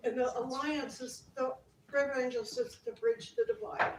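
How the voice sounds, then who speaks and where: An American woman standing up from the audience with a loud, forthright, cheerful comment. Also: high-pitched, fairly even intonation, normal speed. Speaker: audience member, community organizer. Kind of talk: spontaneous comment from the floor. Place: community meeting room. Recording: echoey room from a distance, clear background noise.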